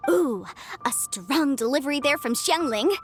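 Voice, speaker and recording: A woman's voice: sits high, animated, moderate pace, faint music behind. A woman voicing a formal, ceremonious contest announcer in a video game. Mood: official, upbeat. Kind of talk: video game character acting.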